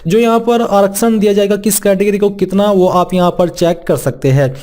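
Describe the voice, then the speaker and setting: A man's voice: high-pitched, steady tone, moderate pace, darkish tone. A man presenting an informal instructional walkthrough, reading formal text and restating it plainly. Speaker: presenter of a job vacancy explainer.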